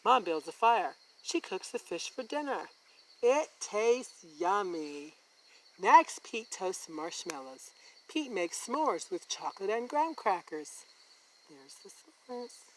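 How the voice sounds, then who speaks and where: A woman hosts a children's read-aloud. She reads at a slow, deliberate pace with lively, expressive intonation, as if showing each thing to young listeners. There is some background noise in the recording. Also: mid-range voice, low-quality recording. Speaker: story time host reading a picture book aloud.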